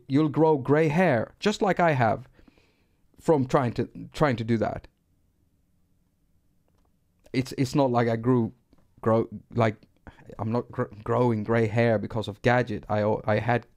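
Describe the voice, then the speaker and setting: A man's voice: medium pitch, steady tone, a little slow, long pauses, darkish tone. Chatty Swedish man with gray hair. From Sweden. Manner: rambling, self-deprecating, repeats words when restarting. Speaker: music producer teaching synthesis. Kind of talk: live stream tutorial.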